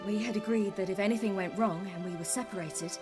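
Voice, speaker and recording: An actress playing a guarded young woman recounting her flight to a companion in a video game, measured and matter-of-fact, with music under her voice. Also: medium pitch, natural voice. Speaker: game character. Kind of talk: recounting a story in dialogue. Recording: game audio over music.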